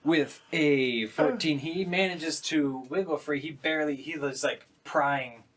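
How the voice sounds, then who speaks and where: A man's voice: on the high side, a little slow, a bit bright. Man casually narrating a tabletop game. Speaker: game master.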